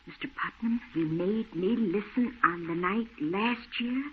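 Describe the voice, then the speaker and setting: An actress playing an earnest, deferential married housekeeper in an old radio drama. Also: female voice, medium pitch, unhurried, lightly acted. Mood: anxious, earnest. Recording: muffled, phone-like old radio recording, faint noise.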